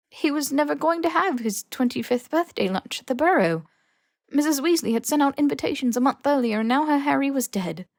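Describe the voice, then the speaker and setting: A woman reading fan fiction as expressive scripted narration, with emotive, dramatic phrasing. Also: high-pitched, vocal fry, dry recording, studio quality. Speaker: podfic reader.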